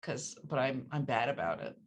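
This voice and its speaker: A woman with a low, deep voice leads a casual author conversation. She talks quickly and laughs at herself along the way. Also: lively intonation, breathy edge. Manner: quick, self-deprecating, with laughs. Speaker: interviewer.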